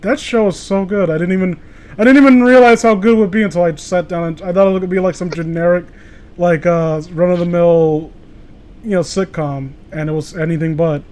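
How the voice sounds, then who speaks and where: A man's voice: high-pitched, fairly even intonation, fairly quick, darkish tone. A man co-hosting a casual comedy podcast about internet horror stories, sweary, joking and exclamatory. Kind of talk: casual comedic chat with mocking read-alouds.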